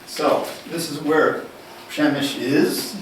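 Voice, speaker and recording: A man giving a university lecture, deliberate and unhurried, posing questions and then answering them. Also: a little high, fairly even intonation, noisy background. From United States. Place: lecture room. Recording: echoey room, distant mic, faint ambience.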